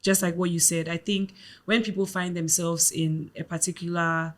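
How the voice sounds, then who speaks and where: A woman's voice: fairly low pitch, level tone, moderate pace. A woman from Ghana, co-hosting a podcast and explaining her views earnestly. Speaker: podcast co-host. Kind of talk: casual podcast chat.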